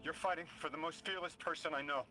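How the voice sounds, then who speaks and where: A man's voice: fairly high pitch, steady tone, fast, bright, forceful tone, soft background music, rough recording. An actor playing an earnest, anxious, loyal male companion in a video game drama. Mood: grieving, resolute. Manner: earnest, emotional.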